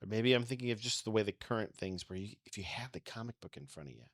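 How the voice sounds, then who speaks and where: A man's voice: on the low side, fast, creaky, no room sound, studio quality. A man co-hosting a podcast, giving opinions and asking questions in casual conversation. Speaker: podcast co-host. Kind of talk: casual discussion.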